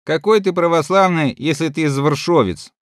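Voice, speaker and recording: A man's voice: on the high side, normal speed, no room sound, clean studio sound, natural voice. A man reading the lines of a mocking, scornful young soldier who scolds and teases. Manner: teasing, scolding.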